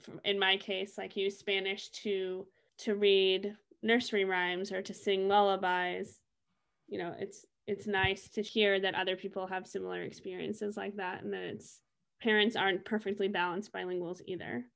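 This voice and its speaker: A woman's voice: medium pitch, level tone, normal speed, creaky, fairly bright tone. The young woman you would hear co-hosting a small discussion podcast, thinking aloud in casual conversation. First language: English. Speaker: co-host in a reflective discussion.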